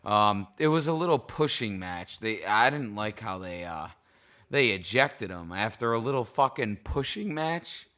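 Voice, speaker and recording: A tipsy man with a worn-out, cracking voice rants about a football game, swearing often and wandering off track. His tone is bright and forceful, and his voice stays smooth and clear beneath the hoarseness. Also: fairly low pitch, expressive, a little slow. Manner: profane, heated, rambling. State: hoarse, intoxicated. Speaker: sports fan vlogger. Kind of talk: casual game reaction rant.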